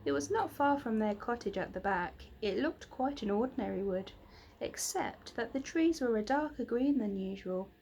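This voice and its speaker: Higher-voiced woman reading a children's storybook. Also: lively intonation, moderate pace, a little mellow, a little hiss or hum. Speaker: reader of children's stories. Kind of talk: expressive scripted storybook narration.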